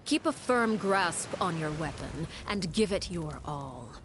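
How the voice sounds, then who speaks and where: A woman playing a stern, composed head of an orphanage house in a video game, speaking formally and with measured authority. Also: low voice, expressive, background noise. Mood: grave, measured. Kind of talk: dramatic video game acting.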